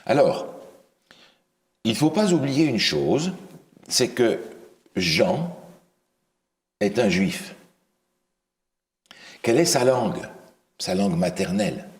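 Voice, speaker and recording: A man preaching a Bible study, asking the listeners questions and pausing on fillers and restarts. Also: lowish voice, sing-song. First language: not English. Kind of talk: interactive Bible teaching.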